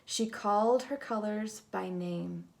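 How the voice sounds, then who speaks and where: A woman reading a picture book aloud to children in an expressive, dramatic way, moving between hush and big swells. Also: mid-range voice, slow pace, vocal fry, slight background noise. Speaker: storybook reader.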